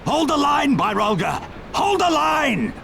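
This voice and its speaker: An actor playing an embattled soldier in a fantasy video game, in a dramatic, shouting style. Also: male voice, very high voice, very animated, measured pace, creaky, a little hiss or hum, exaggerated voice. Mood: alarmed, rallying.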